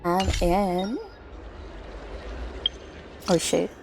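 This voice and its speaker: A woman livestreaming a story game, reacting casually and excitedly with gushing, giggly fangirl comments. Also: fairly low pitch, very slow, vocal fry, music in the background.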